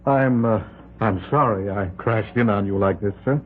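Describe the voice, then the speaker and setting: Low-voiced, hesitant polite stranger played by a man. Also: normal speed, close to an everyday voice. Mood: uneasy politeness. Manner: hesitant, deferential. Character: apologetic, polite male stranger taking shelter. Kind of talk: old-time radio drama acting. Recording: tinny, noisy old radio recording.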